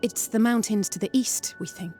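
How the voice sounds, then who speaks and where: A woman's voice: medium pitch, normal speed, warm tone, soft background music, lightly acted. A woman acting a matter-of-fact young scout in a video game cutscene.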